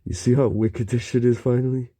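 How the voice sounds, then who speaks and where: A man talking in a halting, rambling monologue, murmuring and pausing with frequent low hums. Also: flat delivery, mellow.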